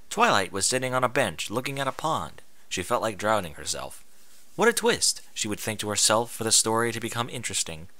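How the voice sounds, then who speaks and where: Animated, bright-voiced man reading stories. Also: mid-range voice, no echo. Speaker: amateur fan fiction reader. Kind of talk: dramatic storybook narration with comic edge.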